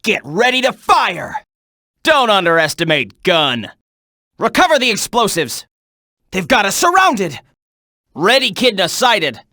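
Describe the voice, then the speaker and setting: A video game soldier in the thick of battle. The delivery is clipped and urgent, with a sharp, forceful edge and animated swings in pitch. It sounds close and dry. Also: male voice, measured pace, pauses often, no room sound, exaggerated voice. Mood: grim, resolute. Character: tense military soldier barking combat reports and orders.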